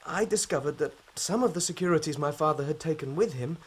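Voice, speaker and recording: A frantic young suspect. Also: male voice, on the high side, breathy edge. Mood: nervous. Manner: anxious, halting and pleading. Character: distraught young man under suspicion, pleading. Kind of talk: radio drama acting.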